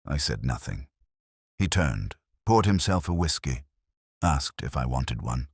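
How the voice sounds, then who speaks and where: A man narrating a detective story in the first person, in a measured, brooding and somber way. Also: a bass voice, very animated, breathy edge, no room sound, studio quality.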